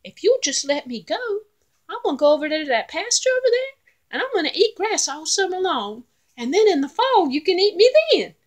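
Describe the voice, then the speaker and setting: A tiny, clever billy goat, as a woman storyteller puts him on, pleading in a very high, squeaky voice. He wheedles and bargains, his pitch leaping all over and his words often quick. A storybook figure brimming with nervous cunning. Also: very animated. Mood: wheedling. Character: tiny timid young male goat, clever.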